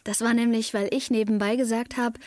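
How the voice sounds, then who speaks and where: A woman's voice: a little high, level tone, a little fast, a little mellow, no room sound, natural voice. A woman playing a restless, defiant teenage girl in love, confiding and emotionally charged in a German radio drama. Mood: confiding, wistful. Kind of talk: German radio drama, intimate first-person narration and dialogue. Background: music under the voice.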